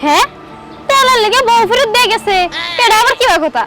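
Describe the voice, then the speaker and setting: A woman's voice: extremely high pitch, lively intonation, a little fast. A woman acting in a comic short film as an irritable, scolding fuel seller with a Bangladeshi accent. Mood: dismissive, scolding. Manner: scolding, dismissive, argumentative. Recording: music laid loudly over dialogue.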